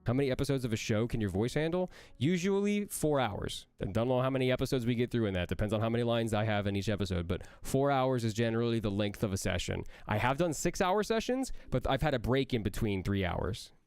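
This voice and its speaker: A man's voice: mid-range voice, lively intonation, quick pace, hardly any pauses, darkish tone, clean tone, no room sound. A young man, a voice actor, reading out and answering chat questions on a livestream, with a nasal voice that sounds like he has a cold, playful and joking.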